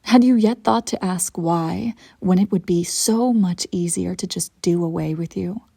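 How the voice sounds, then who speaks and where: A woman playing an imperious evil empress in an audio roleplay, coolly seductive and menacing, with theatrical, deliberate phrasing. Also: on the low side, creaky, mellow, breathy edge, no echo, clean studio sound, full character voice. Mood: taunting, intimate. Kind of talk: scripted audio roleplay acting.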